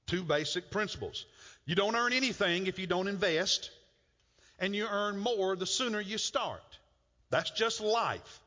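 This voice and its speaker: A man's voice: high-pitched, lively intonation, unhurried, pushed and bright. A middle-aged man preaching a conversational sermon as a pastor, often repeating words as he works toward a point. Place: church sanctuary. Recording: muffled church hall with long reverb.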